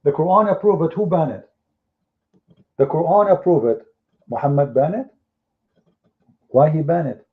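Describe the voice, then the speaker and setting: A man's voice: on the high side, moderate pace, pauses often. A man whose first language is Arabic, with an Arabic accent, arguing heatedly in a live debate and firing off mocking rhetorical questions. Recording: phone-like lo-fi audio.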